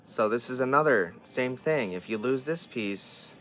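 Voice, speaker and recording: A man in the middle of a hands-on repair talks it through in a loose, casual vlog style. His voice sits at an ordinary middle pitch, and he keeps breaking off his sentences and starting over as he works. Faint music plays quietly behind him. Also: lively intonation, measured pace. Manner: thinks aloud, trailing off and restarting. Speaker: vlogger repairing dolls. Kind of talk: casual chat while working.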